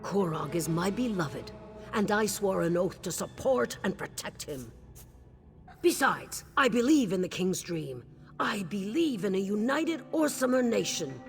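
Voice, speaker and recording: A woman's voice: medium pitch, animated, measured pace, gravelly, faint music behind. A woman playing a devoted orc warrior queen in a video game, speaking solemnly, earnestly and with resolve. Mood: resolute, pleading. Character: devoted orc warrior queen, king's sworn shield-wife. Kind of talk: scripted video game dialogue.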